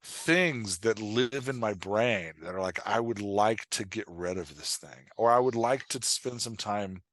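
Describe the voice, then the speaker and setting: Podcast guest: middle-aged male, lowish pitch, dry close sound, candid and self-deprecating, slips into quoted asides. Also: a little slow, a bit bright, dry recording. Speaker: podcast guest, fiction writer and dialogue coach. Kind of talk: candid, conversational interview talk.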